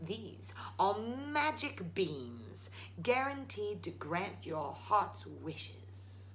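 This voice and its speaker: A woman plays an old storybook tradesman, eccentric and folksy. The delivery is animated and unhurried, with a sly salesman's coaxing. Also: medium pitch, a little hiss or hum, exaggerated voice. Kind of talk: storybook read-aloud.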